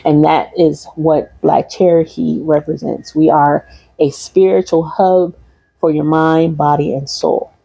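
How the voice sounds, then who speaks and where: Low, gravelly woman hosting a livestream. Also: little pitch movement, measured pace, warm tone, no echo, poor audio. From Michigan, US. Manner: rambling, repeats phrases, talks to viewers. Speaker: holistic practitioner and class host. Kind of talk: casual livestream class wrap-up.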